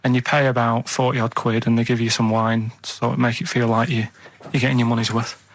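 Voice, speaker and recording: A man's voice: medium pitch, flat delivery, fairly quick, no room sound, poor audio. A man co-hosting a radio show, telling rambling casual anecdotes in a hesitant, matter-of-fact way.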